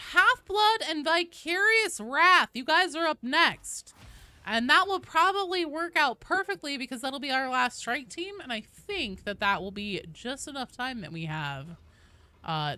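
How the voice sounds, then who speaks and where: A woman hosting a game livestream, chatting casually and answering viewers in an animated, playful, conversational way. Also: high voice, a little slow, no room sound.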